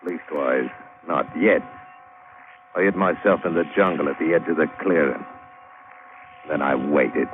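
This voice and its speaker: A man's voice: a bass voice, measured pace, pauses often. An actor playing a roguish, crafty working-class British adventurer who spins his yarn colloquially in an old radio drama. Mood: wry, confiding. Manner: colloquial, wry storytelling with folksy grammar. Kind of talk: old-time radio drama narration and dialogue. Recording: tinny, hissy old radio recording.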